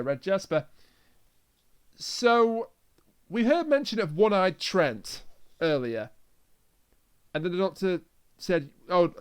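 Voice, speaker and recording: A man with a high, clear voice talks as a podcast co-host. He recaps a story casually and banters along the way, his explanations coming in halting pieces.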